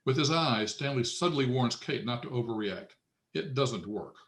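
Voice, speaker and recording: A man's voice: medium pitch, animated, normal speed, fairly bright tone, a little hiss or hum. A man narrating scripted stage directions aloud at a screenplay table read.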